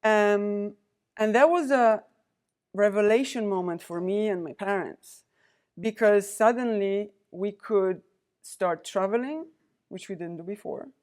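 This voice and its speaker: A woman delivers a prepared lecture to a university audience. She speaks at a middling pitch, in a measured, deliberate way, and pauses between the items she lists. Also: a little mellow. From Poland. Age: 40s. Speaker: guest lecturer, diplomat.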